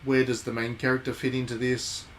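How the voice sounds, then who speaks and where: A man's voice: medium pitch, a little fast. A man giving casual, chatty commentary as he plays through a game on a livestream, musing aloud as he goes. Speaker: game streamer. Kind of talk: casual live visual novel playthrough commentary. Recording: steady background noise.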